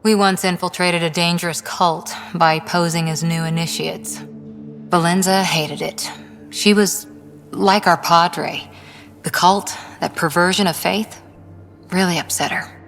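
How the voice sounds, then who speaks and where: Low-voiced, composed woman soldier. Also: steady tone, fairly bright tone, soft background music. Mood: measured, reflective. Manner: dry, measured storytelling. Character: composed female soldier, seasoned ex-commando crewmate. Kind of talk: naturalistic video game voice acting.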